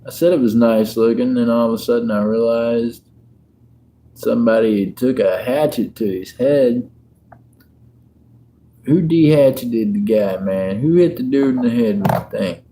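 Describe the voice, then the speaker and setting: A man's voice: mid-range voice, a little slow, mellow, a little hiss or hum, poor audio. A man, chatting and joking with viewers on a casual livestream. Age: 50s. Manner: laid-back, joking, chats with viewers. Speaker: livestream host. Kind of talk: casual livestream chat while sorting coins.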